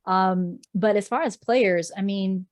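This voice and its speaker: A woman's voice: medium pitch, slow, a little mellow, dry recording, clean studio sound. A woman in her thirties whose first language is Portuguese, talking in a chatty, rambling way with frequent ums as a guest on a podcast interview. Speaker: sports reporter guest.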